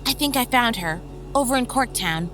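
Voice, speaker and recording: A woman's voice: fairly high pitch, animated, normal speed, a bit bright. An actress playing a cautious, reluctant young female vampire mystic in an audio drama, hesitant and protesting. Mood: focused. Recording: heavy music behind the voice.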